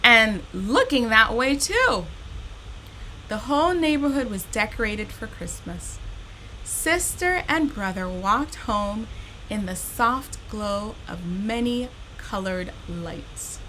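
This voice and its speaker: A woman reads a children's story in a bright, forward voice. She takes her time with each phrase and addresses young listeners directly, with plenty of expression. Some noise sits behind her voice. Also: medium pitch, lively intonation, takes it slowly, low-quality recording. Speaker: storytime host reading a children's picture book.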